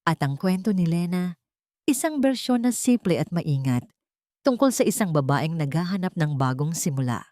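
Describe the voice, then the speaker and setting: A woman's voice: on the low side, big pitch swings, moderate pace, a little mellow, no echo. A woman storyteller giving scripted, dramatic narration of a love story.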